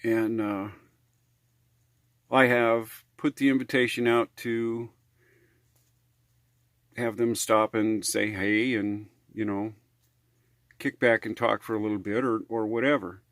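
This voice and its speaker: Unhurried American man, rambling and folksy. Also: fairly low pitch, steady tone, fairly bright tone, dry recording, studio quality. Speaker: RV living vlogger. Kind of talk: casual how-to vlog talk.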